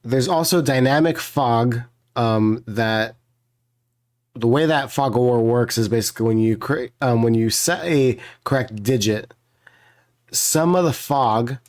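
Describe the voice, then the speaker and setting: A man with a hoarse, strained voice talks through a puzzle video as its host. He explains casually, rambling with lots of fillers and restarts, and keeps apologizing. His pitch sits at an ordinary middle height for a man. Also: unhurried, darkish tone.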